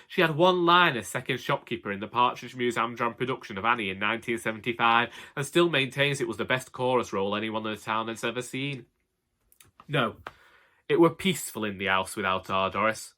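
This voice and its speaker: Male actor as elderly henpecked husband, Northern English accent, bright forward voice, wry deadpan grumbling, comic audiobook storytelling. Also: medium pitch, lightly acted. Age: older. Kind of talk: comic scripted monologue narration.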